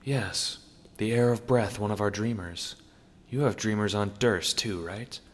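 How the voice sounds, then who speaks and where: A man's voice: on the low side, unhurried, in a large hall, pitched above the performer's own voice. A man voicing a tired, wary, downtrodden woman, a former postmistress, in a matter-of-fact, unimpressed manner for an audio drama. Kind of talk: audio drama character acting.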